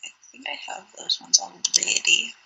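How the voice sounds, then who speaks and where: A woman's voice: normal speed, bright, forceful tone, rough recording. A young woman co-hosting a casual livestream, chatty and giggly. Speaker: livestream co-host.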